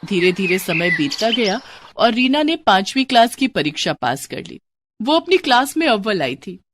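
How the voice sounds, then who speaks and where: A woman's voice: mid-range voice, sing-song, moderate pace, a bit bright, a little hiss or hum. A woman storyteller reading scripted narration of a moral bedtime story.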